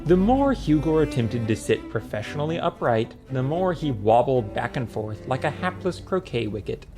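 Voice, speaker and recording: A man's voice: mid-range voice, sing-song, moderate pace, over music. A man narrating a comic fantasy audiobook in a wry, scripted storytelling style.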